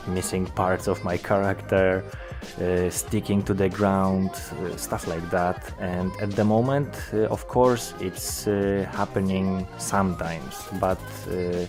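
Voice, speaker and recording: A young man from Poland with a Polish accent, speaking English as a second language, commenting on a game's updates with many hesitant filler sounds. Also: deep voice. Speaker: gaming YouTuber.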